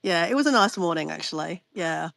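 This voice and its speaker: A woman's voice: fairly low pitch, lively intonation, fairly quick, creaky, fairly bright tone, no echo, clean studio sound. Stuffy-nosed woman, chatty guest. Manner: chatty, rambling with restarts. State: stuffy nose, sniffly. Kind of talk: casual chat on a video call.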